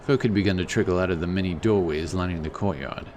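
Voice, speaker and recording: A man's voice: low voice, quick pace, noisy background. A man giving scripted narration for a fantasy audiobook, in the role of storyteller.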